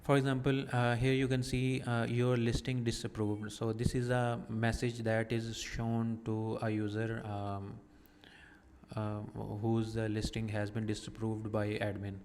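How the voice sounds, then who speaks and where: A man talks through a screen-recorded tutorial in a halting, methodical way, stopping often to find his words. His voice is smooth and clear but stays flat in pitch. It is heard with a long reverb tail. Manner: halting, methodical explanation with frequent pauses. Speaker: software tutorial presenter. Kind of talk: screen-recorded software walkthrough.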